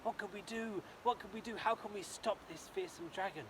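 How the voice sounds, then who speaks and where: A man's voice: high-pitched, a little fast, noisy background, voice pushed up, close to an everyday voice. A man voicing a frustrated, angry old king in a told story. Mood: worried. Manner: fretful, exclaiming.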